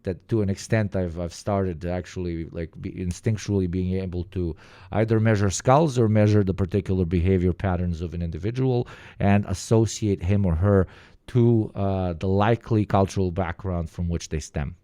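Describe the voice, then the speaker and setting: A man with an Eastern European accent, reacting to a video in casual, profane, rambling commentary with sudden animated bursts. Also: sits low, moderate pace, dry recording.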